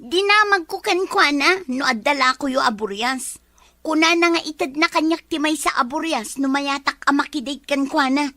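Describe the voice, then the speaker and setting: A woman's voice: high-pitched, normal speed, pushed and bright, no room sound, clean studio sound. A woman acting an indignant, easily offended villager in an Ilocano comedy drama, with an Ilocano accent. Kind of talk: Ilocano radio-style comedy drama dialogue. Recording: faint music behind.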